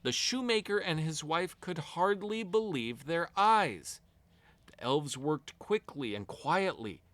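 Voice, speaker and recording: A man reading a fairy tale aloud to children as an expressive storyteller, with deliberate storybook phrasing. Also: a little high, dry recording.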